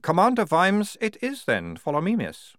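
A man voicing an army captain in a storybook, gruff and clipped, with a commanding manner. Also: sits high, wildly swooping pitch, normal speed, fairly bright tone, no echo, clean studio sound, pitched above the performer's own voice, exaggerated voice. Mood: conspiratorial.

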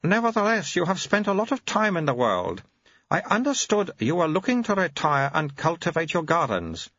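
A man's voice: a little high, big pitch swings, normal speed, a bit bright, breathy edge, no echo, dull audio, pitched above the performer's own voice, full character voice. A man voicing an ancient abbot in a baby's body, who babbles nonsense sounds between stern commands. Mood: babbling, commanding.

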